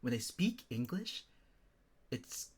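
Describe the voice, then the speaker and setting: A man's voice: medium pitch, sing-song, slow pace, husky. A young man from the Philippines streaming and chatting casually with viewers, rambling and restarting his sentences as he talks.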